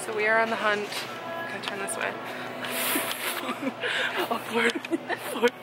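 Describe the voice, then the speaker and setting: Higher-voiced young woman vlogging over music. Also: flat delivery, unhurried, rough recording. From Newfoundland, Canada. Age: 20s. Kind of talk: casual vlog chat. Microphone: camera held at arm's length. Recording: handheld camera audio with music.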